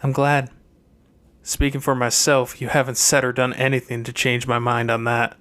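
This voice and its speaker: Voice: medium pitch, level tone, normal speed, fairly bright tone, close to an everyday voice. Reader voicing a reserved, earnest older brother. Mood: sincere, reassuring.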